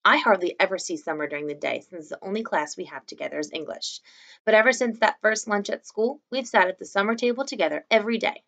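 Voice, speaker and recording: Creaky-voiced young woman reading aloud. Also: mid-range voice, fast, fairly bright tone. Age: young adult. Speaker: teacher reading aloud to students. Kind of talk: expressive read-aloud of a children's novel. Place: small room indoors at home.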